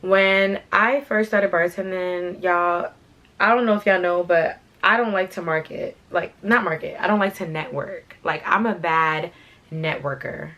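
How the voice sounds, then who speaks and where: A young woman talks in a casual, slangy story-time ramble, full of "like" and "you know what I'm saying." Her voice sits a little low and carries a light rasp from being sick. She chats while doing her hair. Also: unhurried, fairly bright tone. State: slightly raspy from being sick. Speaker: fashion channel host.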